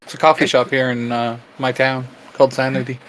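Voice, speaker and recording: A man's voice: medium pitch, level tone, fairly quick, fairly bright tone, poor audio. A man co-hosting a casual chat with jokey, teasing banter. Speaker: co-host.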